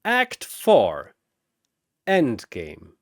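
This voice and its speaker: Dramatic male battle storyteller, slow and animated. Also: mid-range voice, no room sound, studio quality. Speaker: battle narrator. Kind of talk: dramatic scripted story narration with announcer flourishes.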